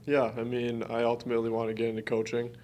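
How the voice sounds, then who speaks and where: Young male athlete, even-toned, humming background. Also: mid-range voice, fast talker, slight background noise. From Wisconsin, United States. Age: early twenties. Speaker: college basketball player. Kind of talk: press conference answers. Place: press conference room.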